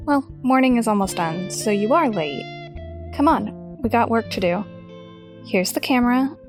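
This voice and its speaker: A woman's voice: on the high side, lively intonation, moderate pace, music in the background, natural voice. An actress playing a bruised teenage girl who is bossy and teasing.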